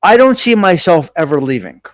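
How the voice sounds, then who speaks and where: A chatty man with a North Jersey accent hosts a live stream, tossing quick jokey asides to viewers. His voice sits a bit high for a man, and the close mic sounds dry with no room echo. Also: big pitch swings. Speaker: host of a live talk show. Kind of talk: casual live-stream chat, reading viewer comments.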